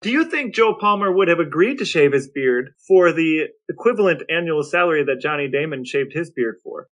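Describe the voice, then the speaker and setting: An American man co-hosting a podcast, bantering casually with a dry, deadpan delivery. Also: a little high, a little fast. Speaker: podcast co-host.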